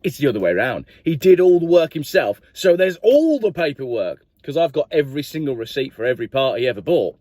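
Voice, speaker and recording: A man's voice: on the high side, sing-song, quick pace, hardly any pauses, dark tone, dry recording. A man talking through a car's history and receipts in a casual, chatty review vlog, often asking the viewer questions. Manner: chatty, rambling, asks viewers directly. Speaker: car reviewer.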